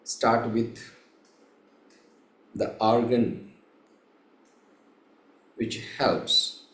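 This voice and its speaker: A man guiding a meditation as a teacher, speaking in measured, halting short phrases with long pauses between them. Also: medium pitch, fairly even intonation, fairly bright tone. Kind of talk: slow guided meditation instruction. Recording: roomy, echoing room sound.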